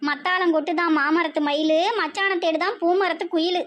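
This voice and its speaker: A woman's voice: very high-pitched, steady tone, moderate pace, a bit bright, slight background noise. A woman voicing a wailing older village mother with a southern Tamil Nadu accent, scolding in sing-song rhymes and proverbs in a comedy skit.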